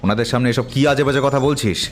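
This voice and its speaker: A man playing a hesitant, somewhat defensive married householder in an audio detective drama, mild and halting. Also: mid-range voice, soft background music, lightly acted.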